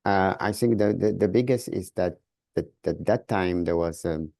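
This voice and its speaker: A man from Sudan with a Sudanese accent, answering as a podcast guest in a halting way, repeating words as he searches for phrasing. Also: low voice, gravelly, darkish tone, no echo, clean studio sound. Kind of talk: interview answers.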